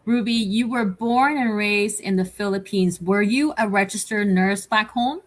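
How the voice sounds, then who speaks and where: A woman's voice: medium pitch, unhurried. Female host, from Canada, live online chat show; upbeat, emphatic, repeats words.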